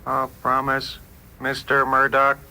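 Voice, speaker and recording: A man's voice: mid-range voice, monotone, slow pace, sharp tone. A man playing an emotionless, courteous, literal-minded computer with formal, clipped phrasing. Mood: impassive. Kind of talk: dramatized short story acting. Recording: noisy vintage radio drama recording.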